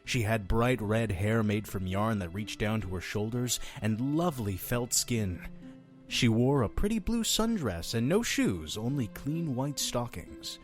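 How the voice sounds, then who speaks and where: Mysterious inn's keeper, male, played by an actor: chatty, theatrical, highly animated delivery for audio drama. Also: a little slow, soft background music. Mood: gentle storytelling. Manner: effusive, talking over his guest.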